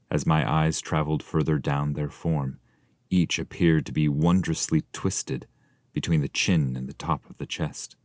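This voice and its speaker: Very deep-voiced man reading literature. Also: darkish tone, dry recording, clean studio sound. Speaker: translator and reader. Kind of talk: scripted literary reading, summary and verse.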